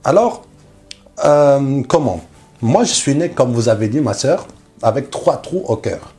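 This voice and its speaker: A man's voice: mid-range voice, animated, measured pace, dark tone, faint music behind. A man from Algeria, a missionary guest, telling his personal religious testimony in short phrases with rhetorical questions.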